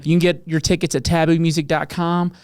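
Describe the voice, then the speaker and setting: A man with a Southern US accent hosts a loose, profane podcast chat. His voice sits a little high and sounds smooth and clean. The mic is close and the room is dry. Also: little pitch movement, fast, darkish tone, dry recording. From American South. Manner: laid-back, swears freely, lots of fillers. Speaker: podcast host and touring musician.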